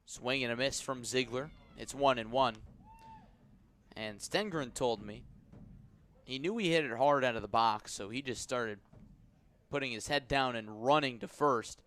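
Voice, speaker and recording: A man calling a baseball game live as a radio play-by-play announcer, with measured, descriptive delivery that lifts when the action picks up. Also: medium pitch, fairly bright tone. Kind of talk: live sports commentary.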